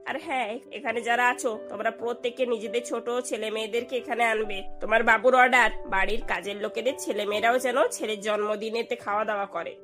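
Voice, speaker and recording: A woman's voice: on the high side, fairly even intonation, fast, hardly any pauses, music in the background, lightly acted. A woman playing a haughty, short-tempered rich mistress of the house in a cartoon, commanding and scolding. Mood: bossy brisk.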